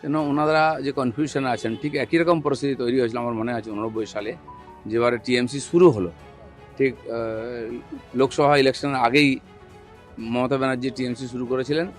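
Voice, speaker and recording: A man's voice: mid-range voice, steady tone, normal speed, faint music behind. A man speaking off the cuff as a politician making remarks to the press.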